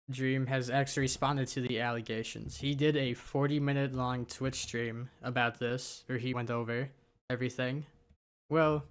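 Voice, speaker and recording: Flat, smooth-voiced young man rambling. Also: mid-range voice. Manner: rambling, offhand, with many fillers. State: sick, voice a little off. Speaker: gaming YouTuber. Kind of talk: casual commentary over gameplay.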